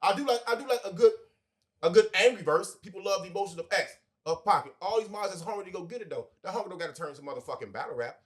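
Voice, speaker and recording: Guy in his 40s on a podcast, rapid-fire, kinda higher voice, swears a lot, real emphatic. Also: lively intonation. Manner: emphatic, profane, preachy. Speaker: podcast co-host. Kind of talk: casual chat.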